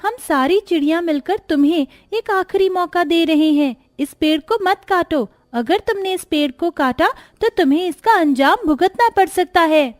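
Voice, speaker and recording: Woman as cartoon magical bird, female, very high pitch, stern, aggrieved, declamatory, dry close recording. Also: lively intonation, normal speed, hardly any pauses, dry recording. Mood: stern warning. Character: aggrieved magical female bird, solemnly cursing and granting boons. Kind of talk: Hindi cartoon story voice acting.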